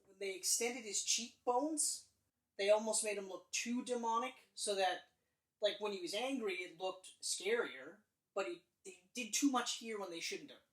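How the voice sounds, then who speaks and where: A man's voice: high voice, moderate pace, fairly bright tone. A man co-hosting a podcast, chatting casually about movies in a rambling way, full of fillers and restarts. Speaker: podcast co-host. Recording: roomy echo, mic distant.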